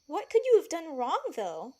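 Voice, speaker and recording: A woman's voice: high-pitched, wildly swooping pitch, moderate pace, mellow. A woman acting a feisty, protective palace servant in an audio drama, blunt and emphatic. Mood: reassuring, frank.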